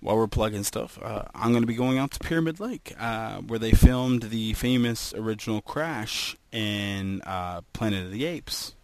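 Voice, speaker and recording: A man's voice: lowish voice, moderate pace, hardly any pauses, dry recording. A man co-hosting a podcast in casual, joking chat. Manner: joking, does silly voices. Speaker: podcast co-host.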